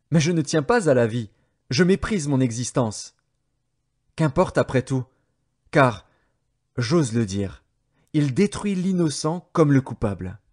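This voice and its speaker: A man's voice: on the high side, darkish tone. A man reading scripture aloud as scripted audiobook narration.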